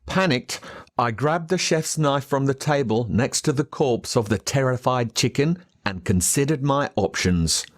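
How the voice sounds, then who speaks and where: An Australian man reading a story aloud as a dramatic narrator, expressive and measured with telling pauses. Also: mid-range voice, dry recording, studio quality. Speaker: audiobook narrator. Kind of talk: dramatic scripted storytelling in first person.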